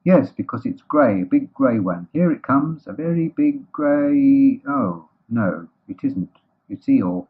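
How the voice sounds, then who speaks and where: A man's voice: medium pitch, expressive, husky, voice pushed up. Guy doing a muddled earnest bear from a kids book, hesitant, tinny old sound. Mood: excited. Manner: halting, earnest. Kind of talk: children's storybook character voice. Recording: thin phone-like, muddy old recording.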